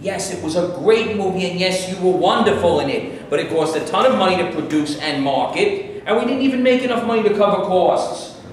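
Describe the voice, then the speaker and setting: Man acting high-voiced, hard-nosed studio boss, echoey room. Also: animated, a little fast. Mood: defensive. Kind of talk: staged reading of a play. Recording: echoey live room, distant camera, noisy.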